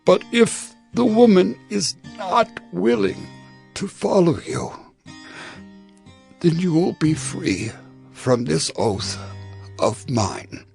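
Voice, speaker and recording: An actor playing an aged, solemn patriarch who commands his servant in deliberate short phrases, in a dramatized scripture reading. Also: high-pitched, expressive, creaky, a little mellow, soft background music, heavily acted. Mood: grave, insistent. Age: older.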